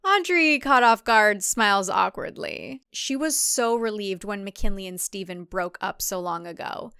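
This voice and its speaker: A woman's voice: mid-range voice, a little slow, sharp tone, clear, resonant tone, no echo. A woman reading scripted fiction as an audiobook narrator, recorded on a close mic.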